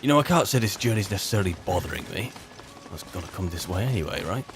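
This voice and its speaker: A man's voice: sits low, animated, rapid-fire, noisy background. A man improvising in-character commentary as he roleplays through a video game, musing and wry as he chats.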